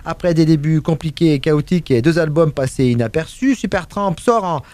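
A man's voice: on the high side, a little fast, darkish tone. A man presenting a music radio segment in French, chatting casually with many fillers, restarts and asides to his co-host.

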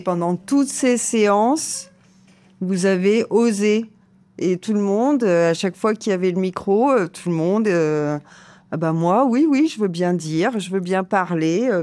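A woman teacher speaks slowly in a fairly low voice. She addresses her students warmly and with admiration, pausing with hesitant fillers between phrases.

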